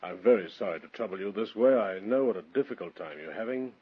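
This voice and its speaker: An actor playing a polite, methodical police detective with a husky voice in a vintage radio thriller. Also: male voice, lowish voice, lively intonation, quick pace. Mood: courteous, probing. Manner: apologetic, methodical. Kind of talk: 1940s-60s radio thriller acting. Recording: muffled vintage radio recording.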